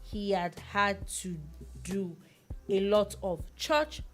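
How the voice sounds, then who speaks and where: A woman's voice: medium pitch, animated, slow pace, soft background music. A woman who hosts a radio show, talking through the news in a chatty way with many fillers and restarts. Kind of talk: news analysis chat.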